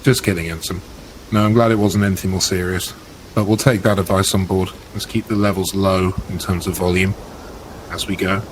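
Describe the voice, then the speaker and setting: A man playing a starship officer in command in a tabletop game, with a dry, deadpan, teasing manner. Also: low voice, brisk, a little hiss or hum, close to an everyday voice, poor audio. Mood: calm, commanding.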